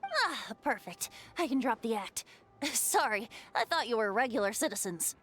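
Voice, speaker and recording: A woman's voice: a little high, big pitch swings, moderate pace, a bit bright, slight background noise. A woman voicing a playful, theatrical young male bard in a video game. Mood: relieved, amused. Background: game music.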